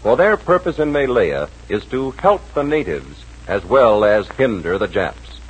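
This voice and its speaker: A man's voice: on the low side, sing-song, unhurried, breathy edge, low-quality recording. A man with an American accent, delivering dramatic, emphatic narration and sales copy as a 1940s radio announcer.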